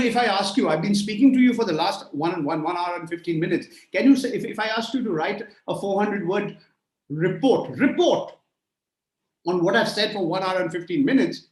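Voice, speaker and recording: A man's voice: high-pitched, a little mellow, echoey room, low-quality recording. A man in his sixties answering audience questions in a lecture, emphatic and rhetorical, piling up phrases in lists. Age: 60s. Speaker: guest speaker. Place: remote call from a room.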